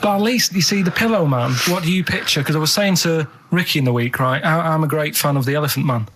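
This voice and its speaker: A man's voice: fairly high pitch, fast talker, fairly bright tone, a little hiss or hum. A man telling rambling anecdotes on the radio, earnest, matter-of-fact and hesitant. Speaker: radio show regular. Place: radio studio.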